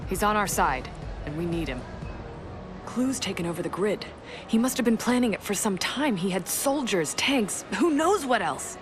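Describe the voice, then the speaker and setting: A woman's voice: medium pitch, sing-song, normal speed, close to an everyday voice. An actress playing an earnest, determined young woman in a video game, talking with urgency. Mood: urgent. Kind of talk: video game cutscene acting. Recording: loud game music behind.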